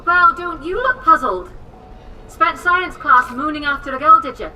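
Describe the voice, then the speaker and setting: A haughty, eccentric English inventor for a video game. Her voice is high, very bright and animated, theatrical and breezily condescending. She sounds some way from the mic. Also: normal speed, faint music behind. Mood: breezy, teasing. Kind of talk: video game cutscene acting. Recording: distant from mic, faint noise.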